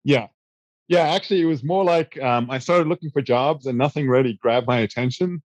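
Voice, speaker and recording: A man in his thirties chats about his own past with a loose, self-deprecating ease, sprinkling his answers with fillers and small restarts. His voice sits at an ordinary middle pitch, recorded close in a dry room. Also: fairly quick, no echo. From South Africa. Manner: relaxed, self-deprecating storyteller with many fillers. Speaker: guest. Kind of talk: casual interview answers.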